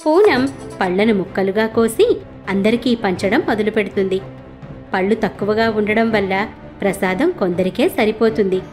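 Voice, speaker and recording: A woman narrating a cartoon story in an even, explanatory storytelling manner. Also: medium pitch, normal speed, faint music behind.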